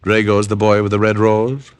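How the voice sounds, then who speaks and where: An actor playing a wry, cool-headed private detective in hardboiled old radio drama style. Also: male voice, fairly low pitch, flat delivery, normal speed, smooth, no room sound, natural voice. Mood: wry, stalling. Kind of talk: 1940s-50s radio acting, first-person narration. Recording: old-time radio recording with music.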